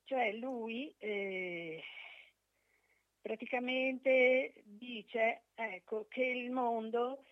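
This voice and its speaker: A woman's voice: a little high, drawn-out words, dry recording. A woman calling in to a radio show, chatting in a hesitant, apologetic way. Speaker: radio phone-in caller. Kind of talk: casual conversation. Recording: dull, dry phone-in line.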